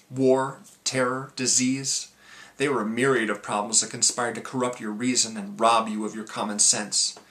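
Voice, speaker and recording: An eloquent male revolutionary addresses the nation as acted by a man. His delivery is measured and rhetorical, in a creaky, gravelly voice with a bright, forceful edge, like a speech from a film. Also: medium pitch, close to an everyday voice. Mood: accusing, knowing. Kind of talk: memorized film monologue, theatrical speech.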